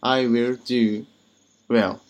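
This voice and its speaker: Hesitant slow-talking male English learner. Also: mid-range voice, little pitch movement. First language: Japanese. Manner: hesitant, halting, many fillers and restarts. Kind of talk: casual conversation practice.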